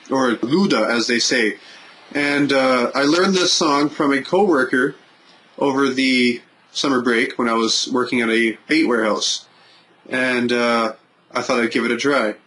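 A young Canadian man with a Canadian accent, vlogging casually from a room at home into a webcam, animated and gesturing, pausing with fillers. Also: medium pitch, low-quality recording. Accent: Canadian English. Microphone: webcam at desk.

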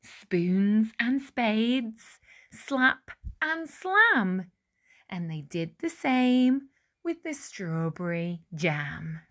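Very slow, animated woman storyteller. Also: mid-range voice. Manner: deliberate, dramatic phrasing. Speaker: picture book reader. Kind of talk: expressive read-aloud of a rhyming children's storybook.

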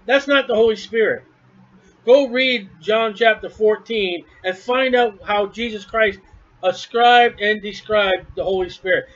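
A male preacher with a high-pitched voice delivers a blunt, scornful sermon from his house. He hammers each point home emphatically. The recording is dull and lo-fi. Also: fairly bright tone.

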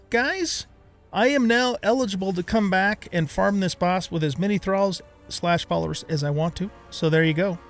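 Higher-voiced man chatting over gameplay. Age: middle-aged. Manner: chatty, thinking aloud. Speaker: gaming let's play host. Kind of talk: casual gameplay commentary.

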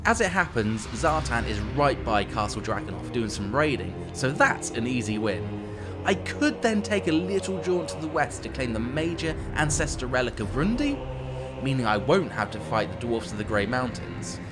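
A man's voice: mid-range voice, sing-song, moderate pace, over music. A man giving scripted, tongue-in-cheek strategy game narration in a wry, theatrical, playfully villainous manner.

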